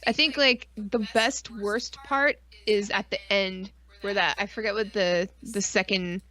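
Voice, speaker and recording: A woman's voice: medium pitch, steady tone, unhurried, sharp tone. A woman co-hosting a casual live-stream chat, rambling with geeky enthusiasm, slang and frequent swearing. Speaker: podcast co-host.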